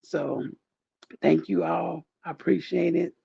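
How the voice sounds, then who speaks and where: Groggy gravelly man, slow and grieving. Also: steady tone, no echo, muffled recording. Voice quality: groggy, deep. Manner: soft-spoken, rambling, heartfelt. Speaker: YouTuber thanking viewers. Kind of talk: casual direct-to-camera chat.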